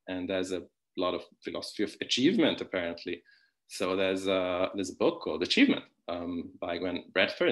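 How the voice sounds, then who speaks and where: A man whose first language is Hungarian, giving an online philosophy conference talk with many restarts, fillers and wry asides. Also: fairly low pitch. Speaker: philosophy lecturer.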